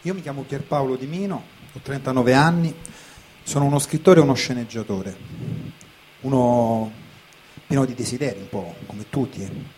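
A man in his late thirties delivering a conversational spoken theatre monologue, pausing and pressing on certain words. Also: medium pitch, a little mellow, natural voice. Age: late 30s. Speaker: stage performer, writer. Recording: clearly noisy live recording.

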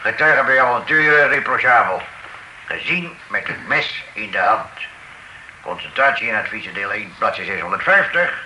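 An actor playing a pedantic legal officer who speaks in clipped, terse fragments of legal jargon. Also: male voice, fairly low pitch, animated, normal speed, bright, forceful tone, reverberant, a little hiss or hum, low-quality recording. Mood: curt. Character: pedantic legal officer citing law books. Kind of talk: period radio drama acting.

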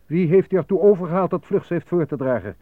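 A cold interrogator, thin narrow sound. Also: male voice, on the high side, expressive, fast, dry recording. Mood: accusing, stern. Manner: clipped, insistent questioning. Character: cold, suspicious male state interrogator. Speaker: actor in a radio play. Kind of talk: Dutch radio drama acting. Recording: phone-like narrow lo-fi radio recording.

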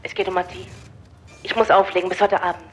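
An actress playing an anxious, secretive young nightclub woman on the telephone in a radio drama, urgent and hurried. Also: lowish voice, level tone. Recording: noisy telephone line with clicks.

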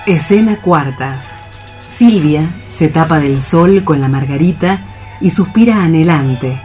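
A man's voice: a little high, measured pace, darkish tone. Male radio play narrator over music.

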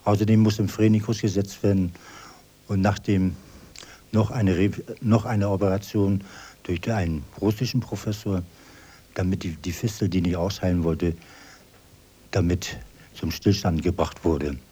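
A man giving formal personal testimony in a deliberate, emphatic way, repeating words as he goes. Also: low voice, steady tone, warm tone, husky.

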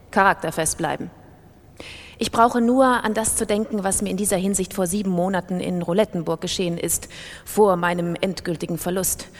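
Woman acting a ruined gambler, obsessive, fervent, emphatic, self-persuading, mid-pitched female voice, radio monologue, long hall reverb. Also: lightly acted. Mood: feverish resolve. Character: ruined, obsessive gambler clinging feverishly to hope. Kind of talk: literary radio play monologue.

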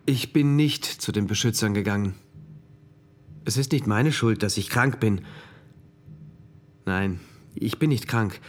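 A man's voice: mid-range voice, natural voice. Actor as precise, introspective engineer narrating his diary. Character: earnest male engineer writing a diary in a regimented future state. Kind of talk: first-person radio play narration.

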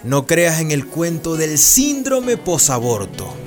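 A kindly, discreet doctor in a radio drama. His voice is fairly high and paternal, soothing as he talks, over clear background music. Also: sing-song, slow. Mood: reassuring, earnest. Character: kindly, paternal, secretive male neighborhood doctor.

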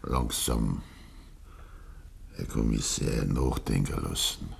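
An actor playing a stubborn, grieving old farmer with a Bavarian accent and a gruff, deliberate manner, in a 1950s German dialect radio play. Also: male voice, a bass voice, full character voice. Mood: brooding, insistent. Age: older. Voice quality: gravelly, husky.

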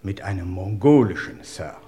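Low-voiced, pompously correct butler. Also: male voice, lively intonation, moderate pace, dark tone. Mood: confiding, pompous. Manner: formal, pompous, confiding. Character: discreet, gossipy butler, pompously correct and servile. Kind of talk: vintage German crime radio drama acting.

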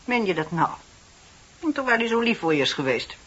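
An actress playing a sympathetic, knowing confidante in a radio play, soothing and gently prompting in a measured way. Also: female voice, lowish voice, lively intonation, husky. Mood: gently probing. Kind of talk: Dutch radio play acting. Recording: muffled, dull radio play recording.

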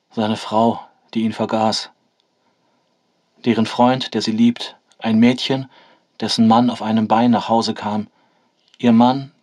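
Man acting solemn play announcer, low voice, radio drama narration. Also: a little mellow, close to an everyday voice. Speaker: narrator reading prologue and cast list.

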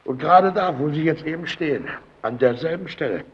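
A man's voice: a little high, animated, brisk, close to an everyday voice. An actor playing a menacing superior in a German radio play, needling and mock-friendly as he bullies a timid underling. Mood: taunting, sly. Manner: needling, insinuating, mock-friendly, swinging from shouts to mutters. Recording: dull, noisy old radio play recording.

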